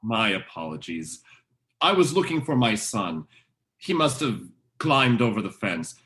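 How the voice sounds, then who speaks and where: A man's voice: fairly low pitch, sing-song, measured pace, a bit bright. Man playing a polite stranger with swooping intonation. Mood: falsely polite. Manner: courteous, apologetic. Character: smooth, polite male stranger posing as a doting father. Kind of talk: old-time radio drama acting.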